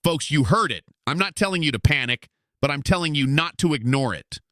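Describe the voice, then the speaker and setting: Actor as animated, bright-voiced conspiracy radio host. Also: male voice, a little high, fairly quick, no room sound, studio quality. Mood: grave, intrigued. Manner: showy, emphatic, folksy announcer delivery. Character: hyped-up conspiracy radio show host, brash showman. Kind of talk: bombastic talk radio hosting in an audio drama.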